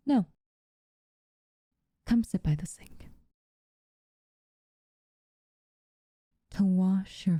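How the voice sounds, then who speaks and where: A woman playing an aloof, teasing but secretly caring witch in a soft, breathy, intimate ASMR roleplay. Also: lowish voice, dry recording, studio quality. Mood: amused, teasing. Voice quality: soft, breathy, often near a whisper. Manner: unhurried, hushed, dryly teasing.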